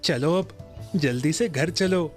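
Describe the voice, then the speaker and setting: A man's voice: fairly high pitch, takes it slowly, faint music behind. A man playing a friendly, sympathetic poor villager in a cartoon moral story, speaking familiarly to a workmate. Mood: friendly, resigned.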